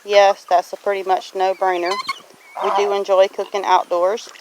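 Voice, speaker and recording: Middle-aged woman, folksy and plainspoken, reflective homestead vlogger, mid-range pitch, noisy outdoor recording, honking geese in background. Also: little pitch movement, moderate pace. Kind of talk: casual question-and-answer vlog monologue. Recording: outdoor noise with clicks, farm geese honking. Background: farm animals, geese honking.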